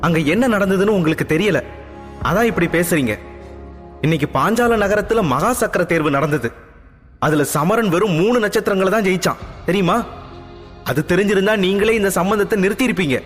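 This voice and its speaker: A man's voice: sits high, a little fast, fairly bright tone, long reverb tail, faint music behind, pitched above the performer's own voice. A man voicing a proud, headstrong young princess who argues indignantly with her parents. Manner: indignant, tearful pleading.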